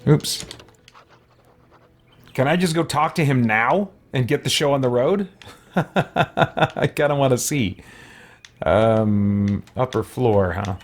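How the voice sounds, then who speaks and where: A man's voice: medium pitch, takes it slowly, pauses often. A man hosting a gaming playthrough, giving casual commentary and reading game text aloud. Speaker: gaming video host.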